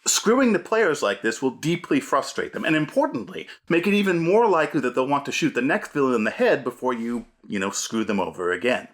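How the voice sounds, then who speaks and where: A man presents a scripted video essay on running tabletop games. His pitch is very lively and moves widely for emphasis. The delivery is punchy and a little theatrical, like a game master instructing other game masters. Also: a little fast, few pauses. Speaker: host, game master giving advice.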